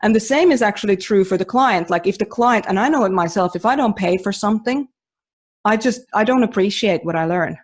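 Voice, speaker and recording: A woman's voice: medium pitch, animated, a little fast. A woman from Finland, a mentor running a training course, talking casually and emphatically on a podcast with many restarts and fillers.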